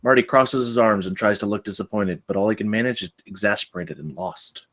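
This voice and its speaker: Low-voiced man reading stage directions. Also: brisk, a bit bright. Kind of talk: scripted narration at a live table read.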